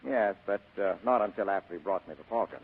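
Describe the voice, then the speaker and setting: An actor playing a cool, wisecracking hard-boiled private detective in 1940s radio style, clipped and cynical. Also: male voice, low-pitched, fast talker, slightly rough. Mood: businesslike. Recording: muffled vintage radio recording.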